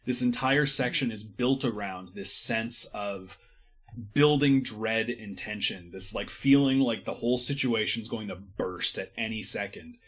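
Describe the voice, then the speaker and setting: Male podcast guest, bright forceful voice, effusive and given to hyperbole in casual literary discussion. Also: on the low side, unhurried.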